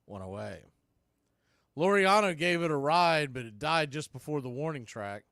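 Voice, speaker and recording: Male baseball announcer, slightly high-pitched, live play-by-play in short clipped phrases, dry sound. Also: animated, moderate pace, fairly bright tone, clean tone, no echo. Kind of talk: live sports commentary with score updates.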